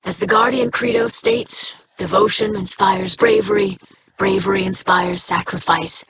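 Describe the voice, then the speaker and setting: A woman's voice: medium pitch, fairly even intonation, unhurried, fairly bright tone, a little hiss or hum, poor audio. An actress playing an earnest, resolute young alien woman in a video game, speaking formally.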